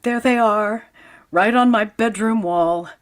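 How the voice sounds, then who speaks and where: A woman playing a wry, lovelorn high school English teacher, narrating dryly in vintage radio comedy style. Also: close to an everyday voice. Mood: wistful, wry. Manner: dry, deliberate comic delivery.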